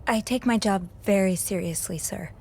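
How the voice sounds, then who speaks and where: A woman's voice: mid-range voice, moderate pace, vocal fry, breathy edge. An actress playing an earnest hotel maid in a video game drama, polite but standing her ground. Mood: nervous, respectful. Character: earnest, defiant young hotel maid under questioning. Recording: background music behind the voice.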